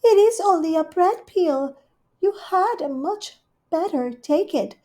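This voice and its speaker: Woman playing a prim fussy cat hostess, very high and fluttery, storybook. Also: animated, slow pace, exaggerated voice. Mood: solicitous. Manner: solicitous and prim, sharp when annoyed. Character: fussy, kindly female cat hostess, quick-tempered.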